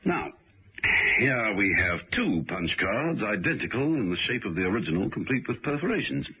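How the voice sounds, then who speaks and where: An actor playing a suave, unruffled British gentleman sleuth in a radio drama, urbane and dryly understated, on an old radio recording. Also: lowish voice, very animated, moderate pace, husky, lo-fi sound, exaggerated voice. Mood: brisk, confiding.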